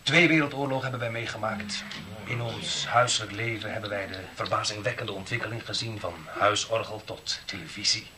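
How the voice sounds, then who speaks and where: An actor playing an elderly, pious eldest brother giving a solemn, sentimental jubilee speech in a 1960s radio drama. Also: male voice, on the low side, fairly even intonation, fairly quick, a crowd in the background, natural voice. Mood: solemn, devout. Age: older.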